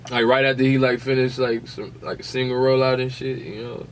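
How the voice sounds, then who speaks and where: A man's voice: medium pitch, little pitch movement, a little fast, a little hiss or hum. A man co-hosting a podcast in a casual, slangy, protesting way, debating informally like he is among friends. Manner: protesting, informal, peppers talk with "bro" and "fam". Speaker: podcast co-host.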